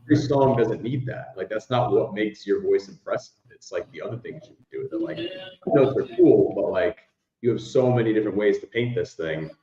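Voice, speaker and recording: A young man and musician, a baritone, chatting casually in an interview and rambling with many fillers. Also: fairly quick, mellow. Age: young adult. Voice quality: baritone speaking voice. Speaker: musician guest. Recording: echoey, dull lo-fi room sound.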